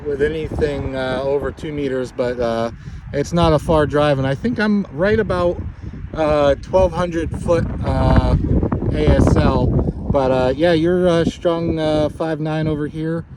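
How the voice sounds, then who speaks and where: A man chatting casually and haltingly as an amateur radio operator, recorded outdoors. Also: fairly high pitch, steady tone. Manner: hesitant, halting delivery. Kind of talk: casual radio contact chat. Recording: outdoor recording with clear background noise.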